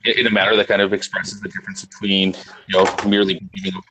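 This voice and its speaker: A man making a philosophical point in halting speech full of fillers, talking into a phone that cuts in and out. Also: low-pitched, flat delivery. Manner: halting, many "you know" fillers. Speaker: discussion participant. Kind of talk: philosophical argument in casual chat. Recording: noisy, fluctuating phone audio.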